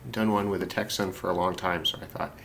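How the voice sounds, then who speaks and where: A man's voice: low voice, steady tone, fairly quick, a bit bright. A man presenting a hobby radio demonstration in casual, unscripted talk, musing and thinking aloud. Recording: clearly noisy hobby recording.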